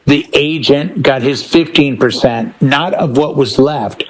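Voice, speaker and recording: A man's voice: medium pitch, a little slow. A man in a casual panel chat, telling anecdotes and laying things out step by step with emphasis. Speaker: DJ and podcast panelist.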